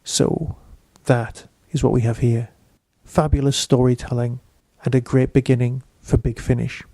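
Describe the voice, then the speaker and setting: A man's voice: medium pitch, flat delivery, unhurried, mellow, no room sound. A man hosting a podcast, reading out a scripted audio drama review.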